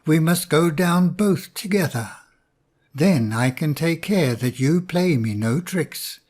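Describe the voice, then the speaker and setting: A man's voice: a little high, expressive, slow, a little mellow. A man reading as a greedy, hot-tempered bear. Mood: demanding.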